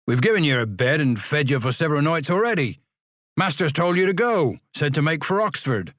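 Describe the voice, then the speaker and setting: Give me a man doing a gruff porter who growls, in a dry close recording. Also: medium pitch, sing-song, measured pace, dry recording. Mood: surly. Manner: growling, dismissive. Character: gruff male workhouse porter, surly.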